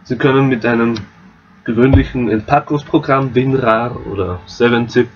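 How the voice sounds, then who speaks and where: A man with an Austrian accent walking viewers through a game map editor, relaxed and explaining step by step. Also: medium pitch, moderate pace, highs cut off, a little hiss or hum. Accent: Austrian German. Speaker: modding tutorial host. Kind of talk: screen-recorded software tutorial.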